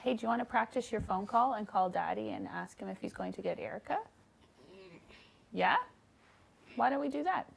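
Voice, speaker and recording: A woman's voice: medium pitch, animated, moderate pace, vocal fry, long pauses, a little hiss or hum, low-quality recording. A woman speaking as a parent, giving casual, supportive prompts. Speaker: parent of a child in coaching.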